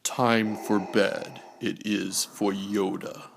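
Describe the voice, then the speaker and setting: A man narrating a bedtime storybook aloud in a hushed, measured, rhyming delivery. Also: lowish voice, level tone, vocal fry, slight background noise.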